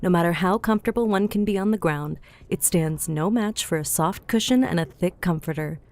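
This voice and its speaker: A woman's voice: lowish voice, a little fast, a little mellow, natural voice. A woman voicing a cheeky, flirtatious female goliath innkeeper in an audiobook, chatty and teasing, with a motherly streak. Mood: friendly. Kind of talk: audiobook character dialogue. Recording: music bed behind the voice.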